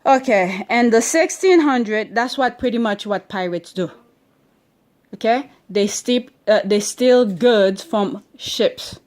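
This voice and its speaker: Accented woman, higher pitch, slow and deliberate, homeschool read-aloud with constant comprehension checks. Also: a little mellow. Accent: non-standard English accent. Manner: checks understanding with frequent "okay?" and questions; deliberate, stretches key words while reading. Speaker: parent teaching her children at home. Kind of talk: reading a history book aloud and explaining it.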